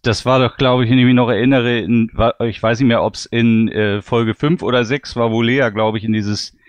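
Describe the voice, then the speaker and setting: A man's voice: medium pitch, fairly even intonation, normal speed, clear, resonant tone, no echo. A man chatting casually as a panelist reviewing audio dramas, enthusiastic and rambling as he recalls details.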